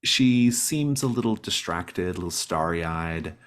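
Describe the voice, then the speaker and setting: A man's voice: low-pitched, animated, a little slow, fairly bright tone. A man acting as game master, narrating a tabletop roleplaying game off the cuff.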